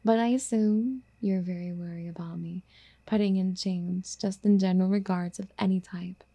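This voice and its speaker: A woman playing a possessive, doting yandere girlfriend in a soft, intimate ASMR roleplay, speaking in a coaxing, whispery, sweetly affectionate way. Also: mid-range voice, fairly even intonation, moderate pace, lightly acted.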